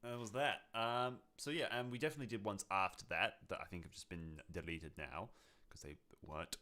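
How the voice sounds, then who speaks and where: A man's voice: lowish voice, a little fast, pushed and bright. A young man co-hosting a podcast in a casual, conversational style. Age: young adult. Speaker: podcast co-host.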